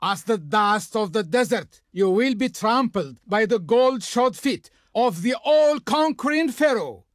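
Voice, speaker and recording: An actor performs a grandiose servant of the Pharaoh, a video game diplomat full of haughty ceremony. The delivery is slow and theatrical, with wide, sweeping intonation. Every phrase is formal and declaimed, in a dry, close studio sound. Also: mid-range voice, expressive, dry recording, natural voice. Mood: wrathful threatening. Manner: pompous, declamatory, formal, drawn-out. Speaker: game character, faction envoy.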